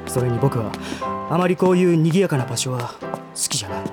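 A man's voice: medium pitch, animated, brisk, close to an everyday voice. Guy acting as a proper rich young man, kinda stiff n earnest, audio drama w/ background music. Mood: stiff, prickly. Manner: formal, stiff, earnest speech. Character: refined, sheltered young gentleman, stiff but earnest.

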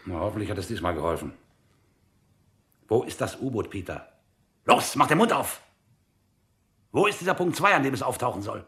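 An actor playing an impatient, domineering secret police captain in a radio drama, giving curt, gruff commands as an interrogator. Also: male voice, lowish voice, lively intonation, moderate pace, long pauses, pushed and bright. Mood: angry, pressing.

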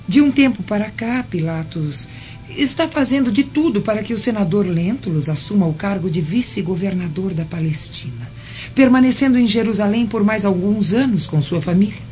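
An actress playing a composed, trusting Roman governor's wife in a radio drama. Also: female voice, mid-range voice, expressive, moderate pace, warm tone, lightly acted. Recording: dull lo-fi, music behind.

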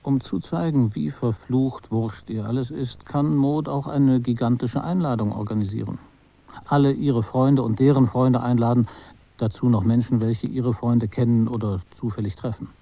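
An actor playing a man who narrates his memories in a literary radio drama, measured and understated, in slightly muffled sound. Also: mid-range voice, fairly even intonation, vocal fry, mellow. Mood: reflective. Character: male narrator recalling a woman he knew.

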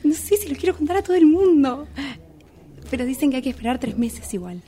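A woman playing an overjoyed, giddy expectant mother in a radio drama, with an Argentine accent and an excited manner. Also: high-pitched, a little fast. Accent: Argentine Rioplatense. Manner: excited, breaks into laughter. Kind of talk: radio drama workshop dialogue. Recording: noisy recording with clicks.

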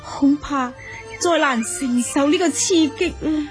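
A woman's voice: sits high, slow, faint music behind. A woman acting in a Cantonese audio drama as a humble, sorrowful noblewoman of ancient China, sighing and speaking with formal courtesy. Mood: wistful, grieving.

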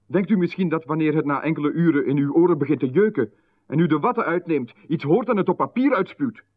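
A man playing a smooth, menacing visitor who talks down to a writer in a radio play, calmly condescending. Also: on the high side, fast talker, close to an everyday voice. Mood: indignant. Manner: calmly condescending, turning sharply indignant. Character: smooth menacing male visitor, patronizing official. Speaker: visitor who calls himself Marchon. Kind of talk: 1990s radio play acting. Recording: narrow phone-like radio band.

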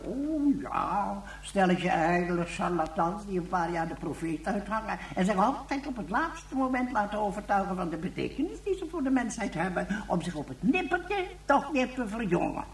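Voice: mid-range voice, big pitch swings, moderate pace, few pauses. An actor playing a bitter, cantankerous old recluse, scornful and emphatic, in a science fiction radio drama. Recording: roomy echo.

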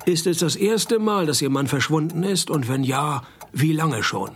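Deadpan, higher-voiced private detective. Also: male voice, moderate pace, breathy edge. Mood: deadpan, sarcastic. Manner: deadpan, sarcastic, laconic. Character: deadpan, wisecracking male private detective narrator. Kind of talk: hard-boiled radio play narration and dialogue.

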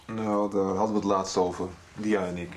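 A man's voice: sits low, level tone, fairly quick, natural voice. An actor playing a cheeky, teasing grown son who pesters his mother, a young man in a Dutch radio soap. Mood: probing. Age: young adult. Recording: roomy, echoey sound.

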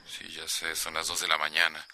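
An actor playing a self-pitying, pleading husband with a Costa Rican accent in a radio soap opera. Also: male voice, very deep voice, monotone, brisk, bright, forceful tone, no echo, full character voice.

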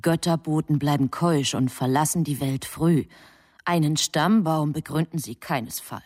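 A woman playing a heavenly messenger who narrates human affairs in a radio drama, in a measured, literary manner. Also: low voice, a little mellow. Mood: measured, reflective. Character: female heavenly messenger calmly recounting human love affairs.

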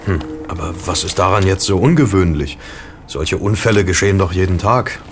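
An actor playing a plucky, skeptical young amateur detective with an earnest, direct manner in an audio drama. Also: male voice, low-pitched, a little fast, music in the background, natural voice. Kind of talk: German audio drama acting.

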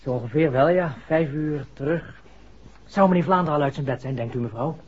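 An actor playing a chatty, deferential household servant in a 1960s radio drama. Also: male voice, a little high, brisk, husky. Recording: dull, faintly noisy old radio recording.

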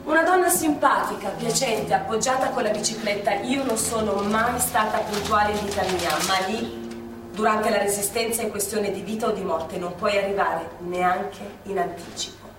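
An actress playing a determined young woman partisan who recalls the war in a halting, reflective way on a live theatre stage. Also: medium pitch, lightly acted. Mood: recounting. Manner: reflective storytelling, halting recollection. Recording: echoey theatre stage, distant mic, noisy.

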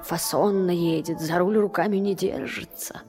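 A woman's voice: fairly low pitch, steady tone, normal speed, dark tone, lightly acted. A woman narrating a dramatized radio story, recalling childhood adventures as the storyteller. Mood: storytelling. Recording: music behind the voice.

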